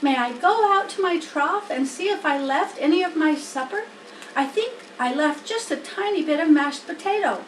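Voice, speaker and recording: A woman's voice: high-pitched, lively intonation, moderate pace, pitched above the performer's own voice. A woman voicing an anxious, eager young male pig in a storybook, pleading earnestly like a small child. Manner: pleading, earnest, full of questions. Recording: roomy, distant mic, faint background noise.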